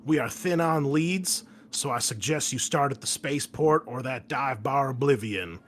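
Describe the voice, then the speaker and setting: A man voicing a gruff, foul-mouthed Marine commander giving emphatic orders in a tabletop game briefing. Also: medium pitch, moderate pace, lightly acted. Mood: stern.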